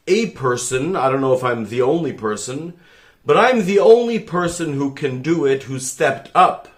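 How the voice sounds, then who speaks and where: Man, rabbi, middle pitch, earnest direct appeal, rambling, self-interrupting, fervent.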